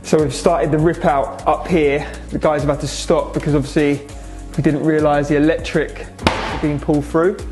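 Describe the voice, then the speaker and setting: Flat-voiced young man giving a vlog update. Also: on the high side, moderate pace, hardly any pauses, over music. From England. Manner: casual, matter-of-fact, lots of "so" and "obviously". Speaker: restaurant owner. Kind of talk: vlog update on a restaurant renovation. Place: restaurant under renovation, indoors.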